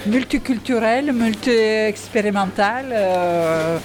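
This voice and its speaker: A woman reporter asks questions on location for a local radio piece. She pauses and gropes for her words as she goes. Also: medium pitch, fairly even intonation, noisy background. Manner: hesitant, searching for words. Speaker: radio interviewer. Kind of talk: on-site radio interview.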